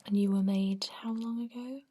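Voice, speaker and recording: A woman whispering softly and reassuringly in an ASMR roleplay as a kindly vampire doctor. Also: mid-range voice, steady tone, background noise. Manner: soft, reassuring, unhurried whisper. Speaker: ASMR performer playing a doctor.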